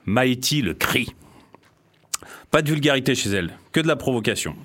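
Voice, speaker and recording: A man's voice: medium pitch, expressive, moderate pace, fairly bright tone. A man from the Paris region, co-hosting a radio show; he reads a short portrait, then banters and teases with a wry tone. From Paris region, France. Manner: teasing, wry, laughs at his own lines. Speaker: radio co-host.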